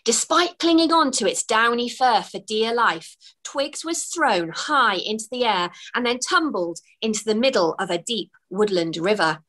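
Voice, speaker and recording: Bright, expressive storytelling woman. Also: on the high side, moderate pace. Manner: expressive, with gasps and small laughs. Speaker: children's book author reading aloud. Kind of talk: storybook narration. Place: home room with bookshelves. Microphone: webcam on a video call.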